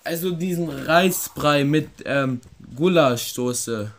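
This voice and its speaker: A young man making a prank phone call and talking to viewers, with a halting, hesitant delivery. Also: on the high side, noisy background. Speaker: prank caller, video host. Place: indoor room with wooden floor.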